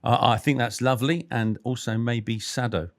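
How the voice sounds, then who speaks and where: A man co-hosting a podcast, talking casually in a thoughtful, slightly halting way with some banter. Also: medium pitch, steady tone, no room sound, clean studio sound. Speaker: podcast co-host. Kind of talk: casual conversational interview.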